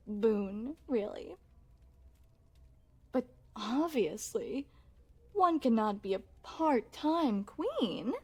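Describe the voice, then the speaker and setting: A woman's voice: fairly high pitch, a little mellow. A woman playing a possessive, secretly shapeshifting queen in an ASMR roleplay, speaking formally and regally with doting menace. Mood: smug, confiding. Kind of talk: ASMR roleplay, scripted character acting addressed to the listener.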